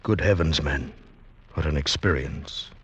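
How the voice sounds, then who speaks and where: An actor in a 1940s radio drama playing a stern older man who rescues and feeds an exhausted traveller, in a husky, commanding voice. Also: a bass voice, unhurried, fairly bright tone, exaggerated voice. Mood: concerned. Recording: dull lo-fi old radio recording, voice set back from the mic.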